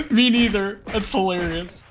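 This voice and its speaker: A man's voice: sits high, animated, slow pace, slight background noise. A man giving casual, explanatory live commentary on a video game speedrun.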